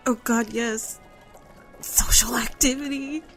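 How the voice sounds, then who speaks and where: A woman's voice: on the high side, steady tone, slow, a bit bright, faint music behind. An actress playing a restless young woman thief who speaks in a blunt, exasperated way. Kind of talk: audio drama acting.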